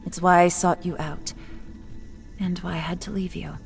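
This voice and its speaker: A woman playing a wise, guarded, mysterious wanderer in a video game, with measured, poised storytelling delivery. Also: lowish voice, fairly even intonation, gravelly, soft background music.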